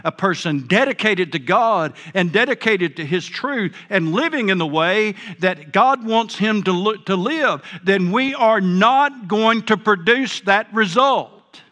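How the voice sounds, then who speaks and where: A man's voice: sits high, lively intonation, a little slow, few pauses, pushed and bright. An older man preaching a sermon, earnest and folksy, with a big voice. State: a bit hard of hearing. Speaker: pastor.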